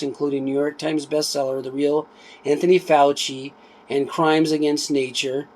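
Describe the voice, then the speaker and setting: Higher-voiced man reading aloud informally. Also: low-quality recording. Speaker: channel host reading a book aloud. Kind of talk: informal read-aloud with casual asides.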